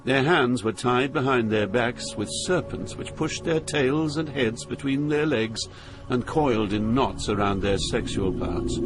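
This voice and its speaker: An older actor playing a solemn poet, narrating his journey through hell in a measured, literary way. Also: medium pitch, close to an everyday voice. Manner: grave, measured, poetic.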